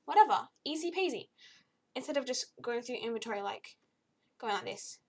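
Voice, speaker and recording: A young woman with a slightly croaky voice, giving a chatty, easily exasperated video game review with lots of fillers. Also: on the high side, expressive, moderate pace, a little mellow. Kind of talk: casual spoken game review.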